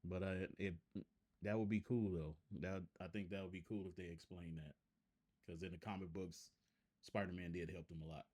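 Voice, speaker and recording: A man's voice: sits low, fairly even intonation, normal speed, a little mellow. A man hosting a casual reaction video, rambling and restarting his sentences as he talks to his viewers. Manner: rambling, repeats himself, frequent restarts.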